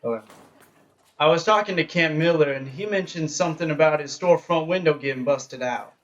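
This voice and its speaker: A man's voice: on the high side, a little fast, lo-fi sound, lightly acted. Earnest, hesitant younger country fellow , male actor. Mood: concerned, hesitant. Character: earnest, hesitant younger country man selling homemade liquor. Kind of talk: period radio drama acting, live.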